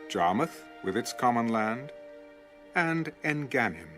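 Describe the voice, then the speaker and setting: A man reading Bible passages aloud in a measured, even recitation, as an audio Bible narrator. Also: mid-range voice. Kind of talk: scripted scripture reading. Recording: music bed under the reading. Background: background music.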